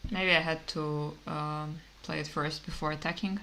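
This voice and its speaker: A woman from Kazakhstan streaming a card game, thinking aloud in a hesitant, musing way with many pauses and fillers. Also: sits low, fairly even intonation, sharp tone, slight background noise, rough recording.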